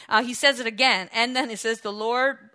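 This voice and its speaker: A woman preacher delivering a lively, conversational sermon, her voice bright and forceful and set a little high. She talks in quick asides and frequent restarts, as if chatting with her congregation. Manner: animated, conversational, laughs often, restarts.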